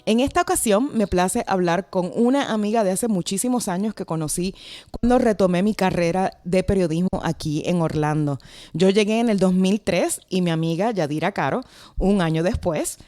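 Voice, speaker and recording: A woman's voice: fairly low pitch, normal speed, a little mellow, dry recording, clean studio sound. A middle-aged Puerto Rican woman with a Puerto Rican accent, hosting a casual podcast interview in a chatty, friendly way. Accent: Puerto Rican Spanish. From Puerto Rico. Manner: friendly, chatty, laughs easily. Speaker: podcast host. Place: at home at night.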